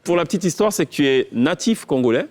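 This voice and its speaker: A man hosting a studio TV interview, relaxed and friendly, with many hesitant fillers. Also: on the high side, fast talker. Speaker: TV show host.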